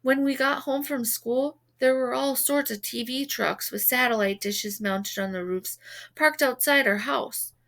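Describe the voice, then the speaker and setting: Woman storyteller for a children's novel, slightly high voice, expressive scripted read. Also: unhurried. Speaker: read-aloud reader. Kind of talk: expressive children's book narration.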